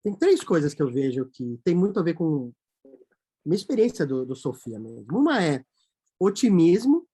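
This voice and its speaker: Animated Brazilian man guest. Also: fairly high pitch, moderate pace, slightly rough. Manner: animated, acts out quoted voices. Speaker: guest, company CEO. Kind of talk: casual interview chat.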